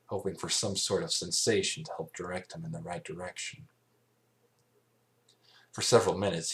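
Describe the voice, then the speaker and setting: A man narrating scripted fan fiction as an amateur reader, halting and stumbling over phrases now and then. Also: low-pitched.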